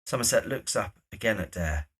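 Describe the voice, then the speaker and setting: A man's voice: on the low side, animated, brisk, fairly bright tone, husky. A man reading scripted stage directions as the narrator of an audio drama.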